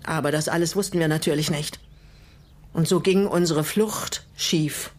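An actress playing a composed, bitter older woman in a naturalistic German radio play, speaking in a measured way with pointed rhetorical questions. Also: low voice, a little mellow. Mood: pointed, grave. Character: composed, bitter older widow, former political prisoner.

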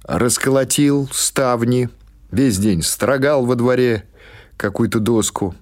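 A man reading literary fiction as an audiobook narrator, in a measured, expressive storytelling manner. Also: medium pitch.

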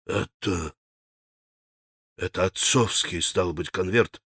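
A man's voice: on the high side, expressive, a little slow, no room sound, studio quality. A man voicing a frantic, hot-tempered accused man who protests his innocence in an agitated, insistent, breathless way, as in a dramatic audiobook. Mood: bewildered. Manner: agitated, shouting, rambling, repeating himself. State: gasping for breath. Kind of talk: dramatic audiobook character acting.

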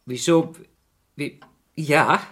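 An actor playing a nervous, evasive man under suspicion in a radio crime drama, speaking in a halting, defensive way. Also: fairly high pitch, fairly bright tone, exaggerated voice. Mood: flustered, evasive.

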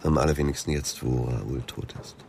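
A proud, middle-aged aristocrat in a radio drama, with an exceptionally deep voice. He is curt and defensive, breaking into halting indignation when pressed, over faint background ambience. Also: steady tone, gravelly, a little mellow, a little hiss or hum. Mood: grudging regret. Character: proud married aristocrat suspect, defensive, tersely denying. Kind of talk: German radio drama acting.

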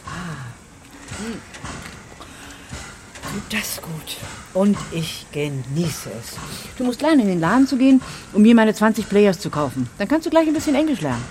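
Radio drama: down-to-earth foster mum, animated, mid-pitched, no-nonsense. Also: female voice, unhurried, slight background noise. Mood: teasing. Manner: blunt, casual, teasing. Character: brisk, down-to-earth smoking English foster mother.

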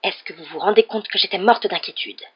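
A woman's voice: medium pitch, a little fast, slightly rough, lo-fi sound. A woman voicing a short, plump, fierce mother who scolds and fusses over children in an audiobook story. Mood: furious scolding. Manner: loud, emphatic, exclaiming scolding.

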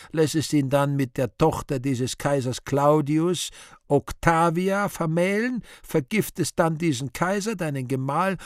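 A man playing an urbane Devil in a theatrical radio play, interrogating dead sinners. He takes his time over each phrase, ironic and mock-courteous, in a middle-pitched voice. The sound is dry and close, with no room around it. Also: no room sound, natural voice.

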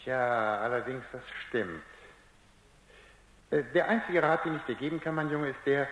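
A man's voice: mid-range voice, normal speed, fairly bright tone, natural voice. An actor playing a defensive, condescending male scientist in a German radio drama. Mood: patronising, instructive. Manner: condescending, stammers when flustered. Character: defensive, patronising male scientist, testy under questioning. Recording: echoey, reverberant radio drama recording.

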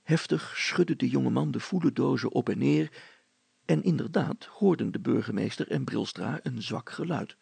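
Gravelly male storyteller, dry recording. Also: medium pitch, moderate pace, darkish tone, no room sound. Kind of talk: expressive story reading.

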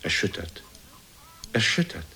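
An actor playing a melancholy, submissive middle-aged son, hesitant and formally deferential, in a radio play. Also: male voice, measured pace, pushed and bright, whispered. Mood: shaken, embarrassed. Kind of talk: German radio play acting.

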